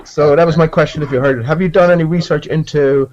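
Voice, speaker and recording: A man with a slightly raised pitch speaks as a caller in a group chat. His manner is casual and insistent, and the sound is dull and lo-fi like an internet call. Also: fairly even intonation, fast, a little mellow, slight background noise. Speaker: caller joining an online group debate. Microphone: online voice call.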